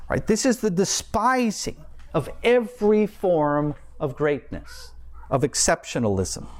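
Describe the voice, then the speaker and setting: A man's voice: on the high side, sing-song, measured pace, a little mellow. A man preaching a scripted sermon in formal, erudite language, with wry asides. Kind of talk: scripted sermon, read like an essay.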